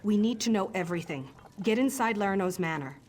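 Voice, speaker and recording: An actress playing a gruff orc woman agent in a fantasy game, speaking bluntly and curtly with wry edges. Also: mid-range voice, expressive, a little fast, a little hiss or hum, close to an everyday voice. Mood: gruff, conspiratorial. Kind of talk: video game voice acting. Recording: loud music under the voice.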